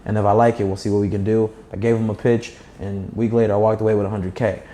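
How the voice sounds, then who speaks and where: Young guy w a slight lisp, mellow voice, chatty interview guest, talks fluently and leans on his points. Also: fairly low pitch, little pitch movement, fast talker. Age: young adult. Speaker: guest, startup founder. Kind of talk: animated conversational storytelling and advice.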